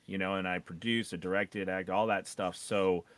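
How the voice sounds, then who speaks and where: A man coaching in a conversational live discussion, explaining in a halting way with repeated words. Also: sits low, steady tone, a bit bright, no echo. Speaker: voiceover coach and co-host.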